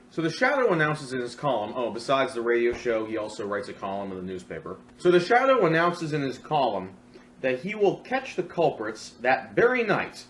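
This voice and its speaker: A man's voice: medium pitch, a bit bright, slight background noise. A man hosting a comedic scripted film review, speaking in a sarcastic, mock-dramatic way. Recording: roomy echo with music underneath.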